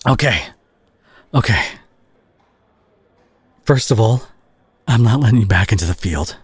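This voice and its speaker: Casting: male actor as tough brawler hero with a tender streak, very husky and breathy, widely swinging pitch, earnest audio-roleplay delivery, dry close sound. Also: no room sound, heavily acted. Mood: subdued, firm. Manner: earnest, emotional, halting delivery with sighs. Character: gruff brawler superhero, male, fiercely protective, guilt-ridden and tender. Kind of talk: dramatic intimate audio roleplay monologue.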